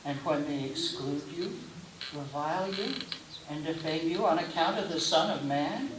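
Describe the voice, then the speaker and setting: A man reading scripture aloud in a solemn liturgical style, with deliberate, declamatory phrasing and pauses between short phrases. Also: a little high. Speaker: scripture reader. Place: roomy indoor church gathering space. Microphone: distant microphone. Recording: distant mic, echoey room, clear hiss.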